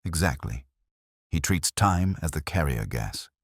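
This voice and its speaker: A man performs a precise, commanding detective of an audio mystery. The voice is very low and lively in its movement, with clipped, deliberate phrasing. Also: no echo, clean studio sound, heavily acted. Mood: grave, intent.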